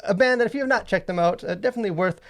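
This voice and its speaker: A man with a fairly high voice and plenty of vocal fry hosts a casual podcast. He rambles along with enthusiasm, peppering his sentences with filler words like "like". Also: racing. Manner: rambling, enthusiastic, heavy use of "like". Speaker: podcast host and movie reviewer.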